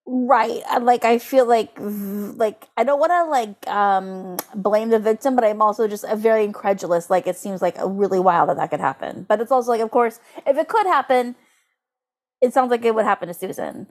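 A woman co-hosting a podcast in casual comedic chat, animated and giggly, sprinkling her sentences with "like". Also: mid-range voice, normal speed. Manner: animated, giggly, uses "like" constantly. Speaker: podcast co-host. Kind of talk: casual comedic chat, recapping and reading aloud.

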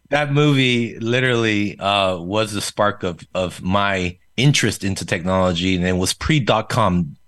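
A man's voice: on the low side, unhurried, fairly bright tone, dry recording. A man from South Korea whose first language is Korean, telling his story as a guest in a casual interview, with frequent fillers. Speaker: podcast guest.

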